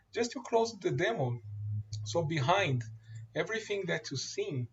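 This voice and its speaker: Male presenter, native Portuguese speaker, demoing software, mid pitch, halting delivery, muffled recording. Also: slightly rough. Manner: halting, many fillers and restarts. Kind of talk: screen-share product demo.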